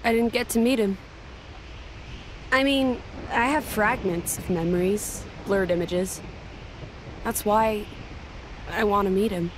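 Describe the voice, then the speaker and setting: An actress playing an earnest, gentle young woman warrior in a video game cutscene. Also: medium pitch, takes it slowly, long pauses, natural voice, rough recording. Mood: wistful. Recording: loud surf and ambient noise behind.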